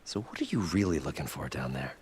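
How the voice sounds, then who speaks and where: A man acting as an eccentric, proud inventor and salvager in a video game, with a husky voice and showy, eager delivery. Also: a bass voice, big pitch swings, brisk, a little mellow, in a large hall, slight background noise. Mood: impressed.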